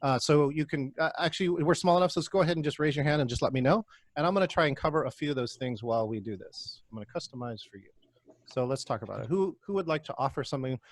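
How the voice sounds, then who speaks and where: A man's voice: a little high, quick pace. A man from California leading a video call meeting, upbeat and chatty with lots of restarts. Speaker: meeting facilitator. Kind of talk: casual video call hosting.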